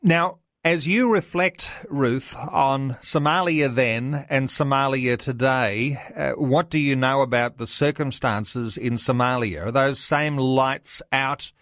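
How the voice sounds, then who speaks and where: A man hosting a reflective radio interview in a measured way. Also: medium pitch, fairly bright tone, no echo, clean studio sound.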